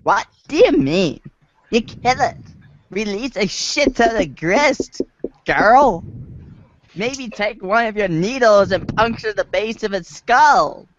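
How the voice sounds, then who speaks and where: A man's voice: sits high, lively intonation, slow pace, muffled recording, a little hiss or hum. An amateur actor, a man, playing a sassy, slangy teenage boy as a drawling valley girl, in a reader's theater recording.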